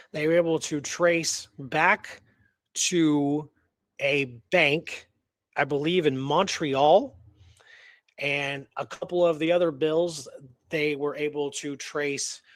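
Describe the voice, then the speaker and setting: A man's voice: on the high side, no echo. A man hosting a radio show, retelling a historical case in casual, slangy talk with emphatic repeated phrases.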